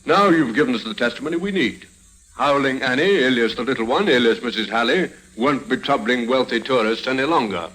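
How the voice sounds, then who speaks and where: Formal, medium-pitched police inspector. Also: male voice, lively intonation, brisk, fairly bright tone, low-quality recording. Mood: official satisfaction. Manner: formal, matter-of-fact. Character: brisk official police inspector, courteous and satisfied. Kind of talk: old-time radio drama acting.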